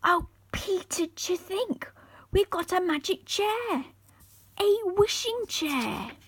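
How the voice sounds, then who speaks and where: A woman's voice: very high voice, expressive, slow pace, fairly bright tone, voice pushed up, exaggerated voice. A woman voicing a timid, wide-eyed young girl in a children's storybook, with childlike expression. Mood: delighted.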